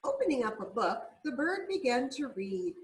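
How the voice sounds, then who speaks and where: A woman reading a children's story aloud expressively, with deliberate, drawn-out emphasis for young listeners. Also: high-pitched, big pitch swings, rough recording. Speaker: storybook reader.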